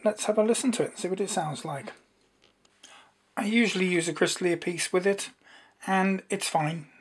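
A man's voice: sits high, slightly rough. A man presenting a casual, unscripted hands-on demonstration, explaining as he goes with some hesitancy. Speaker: presenter demonstrating a homemade radio.

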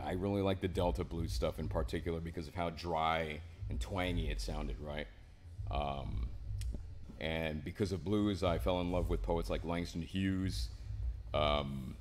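Young man, very deep voice, casual rambling interview guest, faint room ambience. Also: darkish tone, a little hiss or hum. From Miami, Florida. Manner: laid-back, rambling, says "man" and "right?" often. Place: small indoor studio with a painted mural wall.

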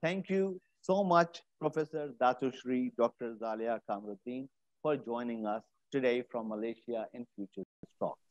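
A man's voice: mid-range voice, moderate pace, no echo. A middle-aged male host reads a formal introduction, then stumbles through his questions with plenty of fillers. The room sound is dry. The tone is slightly muffled. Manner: hesitant when asking questions, many uh's. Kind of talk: reading a guest introduction, then interviewing. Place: studio set with armchair.

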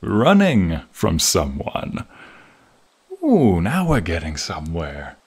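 A man voicing an alluring, menacing archmage who smugly toys with a captive in an ASMR fantasy roleplay, husky and breathy, with teasing murmurs. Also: low-pitched, huge pitch swings, a little mellow, full character voice. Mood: teasing, probing. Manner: slow, teasing, smug, drawn-out murmurs.

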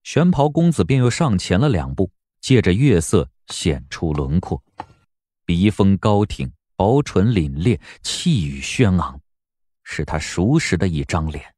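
A man narrating a period audio drama in a measured, literary storytelling style. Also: low voice, big pitch swings, a little mellow, no echo, studio quality.

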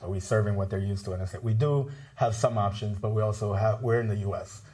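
A middle-aged man from the Bronx, telling stories as a guest in a TV studio interview, relaxed and laughing often. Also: lowish voice, fast. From the Bronx, New York. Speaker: restaurant owner, guest. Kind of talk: casual interview chat.

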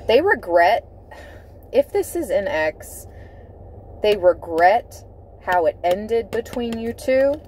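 A woman giving a tarot reading from inside a car, speaking in a slow, dramatic way and repeating key phrases for emphasis. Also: mid-range voice, big pitch swings, a little mellow, a little hiss or hum, rough recording. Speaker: tarot reader. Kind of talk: tarot card reading to camera.

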